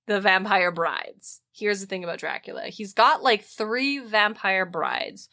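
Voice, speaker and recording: A woman podcast host telling stories in a casual, comic chat, animated and emphatic, with plenty of swearing. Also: mid-range voice, unhurried.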